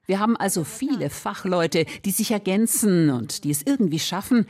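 A woman's voice: medium pitch, animated, moderate pace, a little mellow. A woman reporter reading scripted narration for a radio feature.

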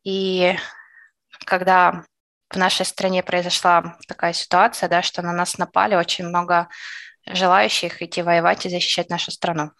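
A woman from Ukraine speaks in an interview, her voice on the lower side. She talks haltingly, pausing often and filling gaps with soft hesitations. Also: level tone, gravelly. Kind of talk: emotional interview testimony.

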